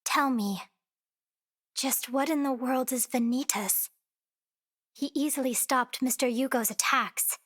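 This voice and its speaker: Composed young game heroine: higher voice, polite, formal, analytical. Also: female voice, fairly bright tone. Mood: composed, curious. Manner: formal, measured. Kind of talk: video game voice acting.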